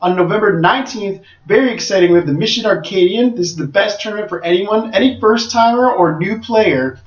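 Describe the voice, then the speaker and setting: A man with a high voice talks through a casual podcast with upbeat energy and many fillers. He sounds far from the mic in a roomy space. The recording is dull and lo-fi. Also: a little fast. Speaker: podcast co-host and head tournament organizer.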